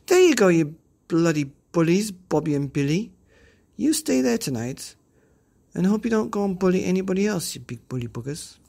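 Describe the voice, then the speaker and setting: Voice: medium pitch, very animated, moderate pace, creaky, dark tone, voice pushed up. A storyteller voicing a bold, tough little girl hero who bosses and scolds the bullies. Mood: bossy. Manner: bossy, scolding.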